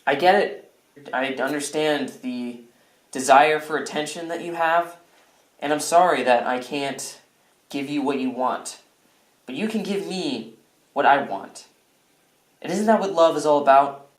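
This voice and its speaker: A man's voice: fairly high pitch, measured pace, long pauses, close to an everyday voice. A man acting in a comedy skit as a self-centred, whiny, melodramatic character, exasperated and prone to outbursts, in a roomy space. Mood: mock-earnest scheming. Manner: exasperated, mock-earnest, bursts into shouting. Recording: roomy, echoing indoor sound.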